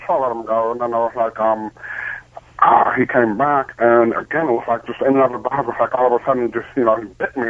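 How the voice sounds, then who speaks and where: A man's voice: mid-range voice, no room sound. A male caller rings in to a radio show. His voice is thin and dry, squeezed through a phone line. He hesitates constantly, filling gaps with ums and starting sentences over. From Pennsylvania, US. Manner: hesitant, many ums and restarts.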